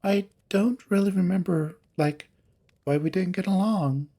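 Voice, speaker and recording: A man's voice: high voice, gravelly, warm tone, pitched above the performer's own voice, heavily acted. Man putting on a sheepish, babyish young cat woman. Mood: hesitant, sheepish. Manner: halting, filler-heavy, babyish. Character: sheepish, forgetful young female cat, evasive and catty.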